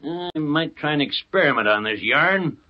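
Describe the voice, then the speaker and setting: An actor playing an impatient city editor in old-time American radio style, barking slangy lines theatrically. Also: male voice, a little high, lively intonation, normal speed. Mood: gleeful. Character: brash, excitable, impatient newspaper city editor. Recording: muffled vintage radio recording.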